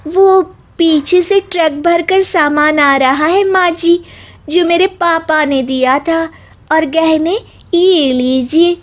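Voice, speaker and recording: High, sweet witch bride, as a storyteller voices her. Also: slow pace. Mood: sweet, obliging. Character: sweet-talking female witch disguised as dutiful daughter-in-law.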